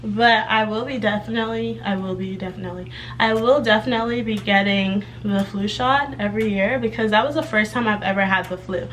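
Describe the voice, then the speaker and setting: A young woman chatting casually in a vlog update, a bit hoarse from laryngitis and rambling while she does her hair in her bedroom. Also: medium pitch, a little fast, few pauses, fairly bright tone, a little hiss or hum. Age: young adult. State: slightly hoarse from recent laryngitis. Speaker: vlogger. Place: bedroom with clothes rack, indoors.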